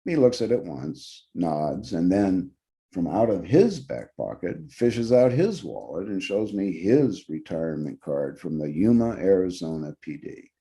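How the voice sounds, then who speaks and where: A man, an actor, reads a literary short story aloud in the first person, with measured, dramatic phrasing. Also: deep voice, sing-song, warm tone.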